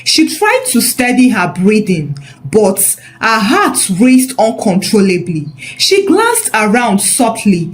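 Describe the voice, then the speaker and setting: A woman narrating a scripted story in a measured, expressive, dramatic way. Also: medium pitch, rough recording.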